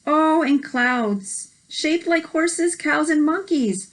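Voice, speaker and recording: Casting: woman as read-aloud co-host, fairly high and very melodic voice, expressive storybook delivery. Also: slow pace. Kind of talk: reading a picture book aloud with short reactions.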